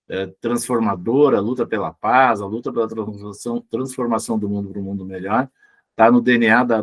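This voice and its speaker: Man, Brazilian accent, interview host, medium pitch, conversational style, halting word repetitions.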